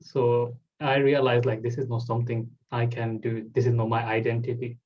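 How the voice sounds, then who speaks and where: A man's voice: mid-range voice, little pitch movement, moderate pace, darkish tone, a little hiss or hum. Young man, lo-fi sound. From Bangladesh. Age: 20s. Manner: loose phrasing with many "like" fillers. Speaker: host. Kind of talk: casual interview talk.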